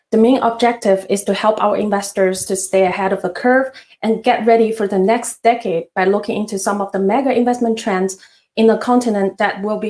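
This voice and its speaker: A woman's voice: medium pitch, a little mellow. A woman hosting a finance webinar, giving a polite, partly scripted introduction with some hesitations. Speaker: webinar host. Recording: roomy echo.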